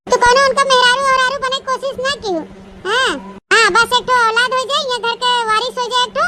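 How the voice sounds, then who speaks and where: A woman's voice: very high-pitched, steady tone, quick pace, pushed and bright. A woman voicing a domineering, scheming first wife in a comic cartoon, with an Awadhi accent and a taunting, emphatic manner. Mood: scornful, commanding. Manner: bossy, taunting, emphatic repetition. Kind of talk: comic cartoon family drama. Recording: very noisy cartoon voice track.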